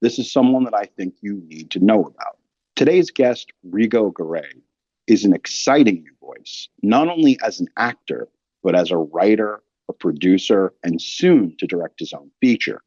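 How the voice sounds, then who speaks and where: A man's voice: on the low side, lively intonation, normal speed, darkish tone, slightly rough, no room sound. A man hosting a podcast, delivering an emphatic, colloquial and opinionated monologue. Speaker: podcast host. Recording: dry, slightly dull close recording.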